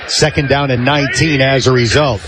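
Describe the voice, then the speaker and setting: A man's voice: mid-range voice, fairly even intonation, brisk. An American man calling football play-by-play on radio in clipped, descriptive phrases. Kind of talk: live American football commentary. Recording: clearly noisy live stadium broadcast.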